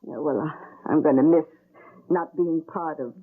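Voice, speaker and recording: An actress playing a fussy, superstitious, doting middle-aged aunt in an old-time radio drama, on a tinny recording. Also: female voice, low-pitched, moderate pace, slightly rough. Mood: wistful.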